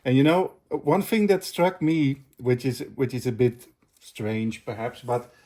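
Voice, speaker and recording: Man, middle-aged, medium pitch, casual review chat, hesitant, stop-start phrasing. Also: fairly even intonation, a little slow, mellow. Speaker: video host.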